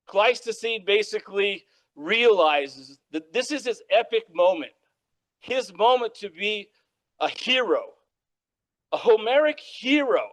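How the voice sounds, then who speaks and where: Man, professor, public lecture, loud, projecting, high-pitched, conversational. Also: expressive, takes it slowly. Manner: loud, projecting, peppered with "all right?". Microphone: microphone.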